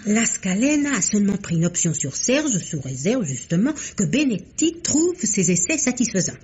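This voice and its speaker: A woman's voice: medium pitch, sing-song, moderate pace, a little mellow, close to an everyday voice. An actress playing a chatty, scheming woman who has inherited a couture house, in an old French radio drama, gossipy and conspiratorial with frequent giggles.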